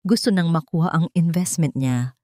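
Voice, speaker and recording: Woman voicing a smooth-talking, boastful rich fiancé. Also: fairly low pitch, normal speed, mellow, no room sound, clean studio sound, close to an everyday voice. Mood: evasive, placating.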